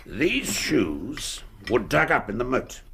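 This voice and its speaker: Gravelly, halting old duke. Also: male voice, medium pitch, huge pitch swings, noisy background, heavily acted. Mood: grave, resigned. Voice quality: gravelly, husky. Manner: halting, formal, aristocratic. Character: proud, guilt-ridden English duke, yielding and grave. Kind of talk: radio drama acting. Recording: radio drama studio recording.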